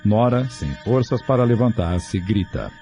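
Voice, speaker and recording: A man's voice: on the low side, a little slow. A man with a Brazilian accent giving a scripted, dramatic story narration. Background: music.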